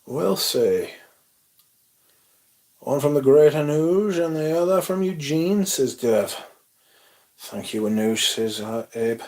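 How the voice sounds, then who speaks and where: A young man hosting a casual livestream, reading chat comments aloud and chatting in a loose, relaxed way with casual swearing. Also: a little high, takes it slowly, pauses often. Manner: laid-back, swears casually, mumbles asides, reads chat aloud. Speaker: livestream host.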